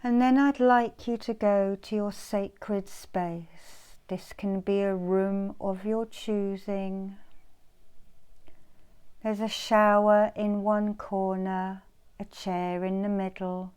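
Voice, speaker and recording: A woman guides a meditation at a very leisurely pace. Her voice is soft-edged and warm, with a smooth, clean tone. She gives soothing instructions gently, repeating phrases and leaving pauses. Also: medium pitch, steady tone. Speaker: meditation teacher leading a guided visualisation.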